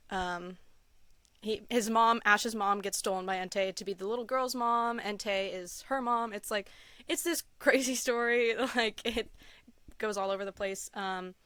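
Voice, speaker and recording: A woman's voice: mid-range voice, fairly bright tone, smooth, no echo. A woman chatting casually as a podcast guest, telling personal stories in an enthusiastic, giggly manner. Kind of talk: casual interview chat, personal storytelling.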